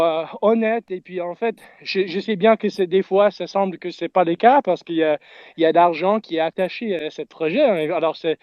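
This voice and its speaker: A man's voice: high-pitched, dry recording, muffled recording. A Canadian man, a native English speaker, chatting in French with a noticeable English accent, hesitating as he searches for words. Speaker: guest on an audio space. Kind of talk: casual chat.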